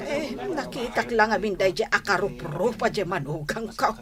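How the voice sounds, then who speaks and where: A woman's voice: mid-range voice, very animated, normal speed, over music, lightly acted. An actress playing a gossipy, haughty older mother-in-law in a radio drama, with an Ilocano accent from the Northern Philippines. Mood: scandalised, mocking.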